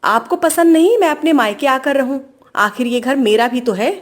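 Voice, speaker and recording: Casting: female performer as whiny, back-talking young daughter-in-law, highish voice, defiant temper, domestic story drama. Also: normal speed. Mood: indignant.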